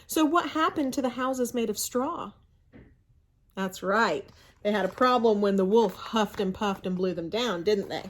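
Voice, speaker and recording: A woman reading a children's story aloud in an expressive, teacherly way, pausing for asides and questions to young listeners. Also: mid-range voice. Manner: expressive, teacherly, often asks rhetorical questions.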